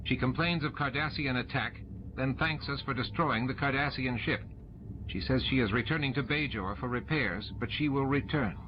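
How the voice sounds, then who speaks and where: A man's voice: medium pitch, normal speed, background noise, voice pushed up, close to an everyday voice. A man voicing an urgent female space station officer, giving clipped reports in an audiobook. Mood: tense reporting. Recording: muffled audio under loud music.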